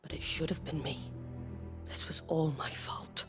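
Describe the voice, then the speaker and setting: A woman's voice: low-pitched, little pitch movement, a little slow, over music. An actress playing a tough, blunt woman leader in a dramatic game cutscene. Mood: bitter, guilty. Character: tough, blunt tavern leader woman, guilt-ridden but defiant.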